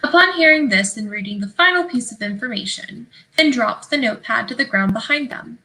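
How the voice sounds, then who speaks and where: A woman's voice: fairly high pitch, lively intonation, brisk, sharp tone, a little hiss or hum, rough recording. A woman reading out stage directions as scripted narration for a play.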